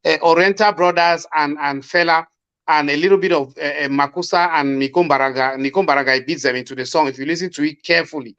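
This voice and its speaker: A man with a Cameroonian Pidgin English accent, arguing forcefully as a guest in a panel discussion. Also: fairly high pitch, fairly even intonation, fast talker, clear, resonant tone, lo-fi sound.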